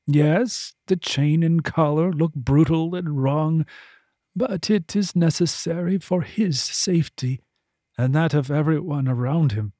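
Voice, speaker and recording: A man's voice: fairly high pitch, measured pace, dark tone, no echo, studio quality. A man voicing an authoritative noble figure who speaks formally and with measure.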